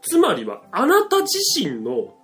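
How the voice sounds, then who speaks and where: A man's voice: high voice, wildly swooping pitch, a little slow, fairly bright tone, faint music behind. A man giving comedic radio advice, explaining with mock authority and acting out imagined quotes. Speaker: radio show advice-giver.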